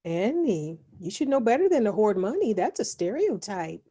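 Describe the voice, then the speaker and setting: A woman's voice: medium pitch, very animated, normal speed, warm tone, husky. An actress playing a sweetly judgmental, scolding woman in a scripted sitcom table read.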